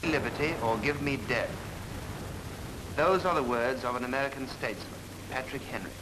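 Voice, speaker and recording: A man narrating a 1940s wartime newsreel in a solemn, rhetorical, deliberate manner, on an archival film soundtrack. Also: mid-range voice, background noise.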